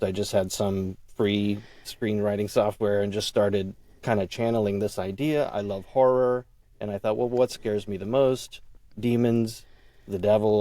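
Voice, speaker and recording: A man being interviewed as a podcast guest, telling his own story casually and haltingly, thinking aloud and quoting his own thoughts. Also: lowish voice, dark tone, dry recording. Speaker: audio drama creator and writer.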